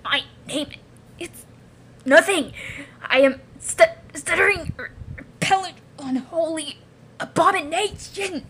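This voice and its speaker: A woman's voice: extremely high pitch, bright, forceful tone, voice pushed up, heavily acted. A woman voicing a fused mutant creature that hates itself, stuttering and dragging out its words between furious outbursts. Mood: bitter self-loathing. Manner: halting, drawn-out words with outbursts.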